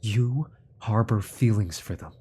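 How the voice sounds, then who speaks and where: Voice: medium pitch, measured pace, dark tone, husky. An actor playing a menacing, centuries-old sorcerer-scientist in a fantasy audio drama, with a smug, theatrical delivery that slips into whispers. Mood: gloating, patient.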